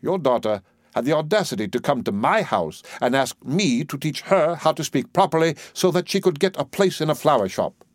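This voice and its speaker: Guy doing a bossy scornful professor in a stage play, super animated pitch, dry close sound. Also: fairly quick, no echo, natural voice. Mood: indignant. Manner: declamatory, overbearing. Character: imperious, excitable male professor, scornful and bossy. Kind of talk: audiobook play reading.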